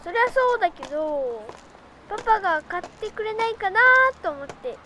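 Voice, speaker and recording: A woman's voice: extremely high pitch, very animated, normal speed, heavy background noise. A woman playing an eager nine-year-old boy in a radio drama, childlike and casual, wheedling his dad. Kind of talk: scripted radio drama dialogue.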